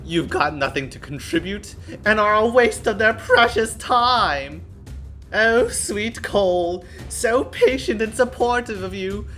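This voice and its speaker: A smug, scheming young nobleman from a visual novel. He taunts in a mock-sweet, haughty way, with big theatrical swings in pitch. His delivery is unhurried, over faint music. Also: a bit bright. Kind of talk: dramatic visual novel character acting.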